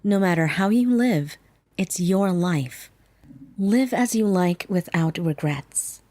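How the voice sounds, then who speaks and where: A woman's voice: lowish voice, expressive, takes it slowly, warm tone, dry recording. A woman voicing a composed, firm young female teacher in a manga dub.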